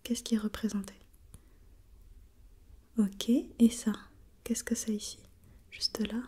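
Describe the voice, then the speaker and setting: A woman whispering breathily in an ASMR role play, gently putting questions step by step as an examiner and softly repeating herself. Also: a little high, flat delivery, slow, husky. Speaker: examiner in a role-played visual test.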